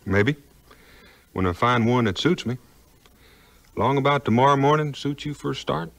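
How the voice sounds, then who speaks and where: A man's voice: mid-range voice, normal speed, vocal fry, a bit bright. An actor playing an easygoing, honest frontiersman with a folksy Southern US drawl in a 1950s record drama. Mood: musing. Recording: 1950s vinyl record, music behind.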